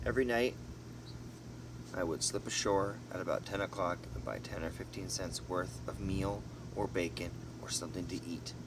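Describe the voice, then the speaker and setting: A man narrating a storybook as an amateur reader, expressively, in short halting phrases with casual asides. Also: low-pitched, steady tone. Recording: noisy, distant mic, vehicle-like background hum.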